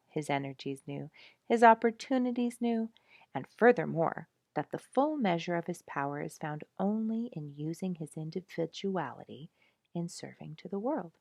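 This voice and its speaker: A woman narrating a nature story from a script in a measured, emphatic storytelling manner. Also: fairly low pitch, expressive, gravelly, dark tone, no room sound, studio quality. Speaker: audiobook narrator reading a nature memoir.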